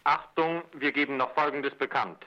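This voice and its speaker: An actor playing a stern Nazi-era radio announcer, reading formally and emphatically through an old radio filter. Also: male voice, mid-range voice, steady tone, moderate pace, sharp tone. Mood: stern, official. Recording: dull narrow-band radio sound.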